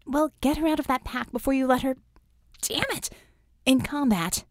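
A woman voicing a brisk, urgent female tech dispatcher for a superhero team in an audiobook, clipped and commanding. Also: sits high, no echo, studio quality, voice pushed up. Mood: tense, commanding.

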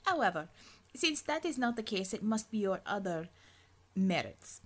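A woman's voice: medium pitch, very animated, moderate pace. Audiobook role: imperious Russian woman officer, voiced by a female reader, stern and formal, accented, clipped delivery. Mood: commanding.

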